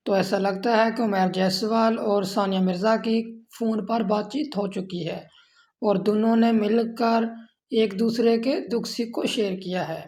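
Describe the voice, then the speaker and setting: A woman's voice: mid-range voice, darkish tone, no echo, clean studio sound. A woman with a Pakistani accent reading a scripted celebrity news voice-over steadily, in formal phrasing. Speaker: news narrator.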